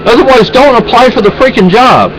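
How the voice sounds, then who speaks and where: A man's voice: very high-pitched, brisk, crowd noise, rough recording. A man venting in a rambling, exasperated way in a casual home vlog, with many restarts. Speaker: vlogger.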